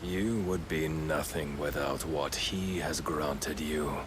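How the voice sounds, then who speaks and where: An actor playing a grave, menacing godlike servant in a fantasy game, speaking in solemn, pompous, archaic phrases. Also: male voice, a bass voice, slow pace, background noise. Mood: solemn, preaching. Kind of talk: dramatic fantasy game cutscene acting.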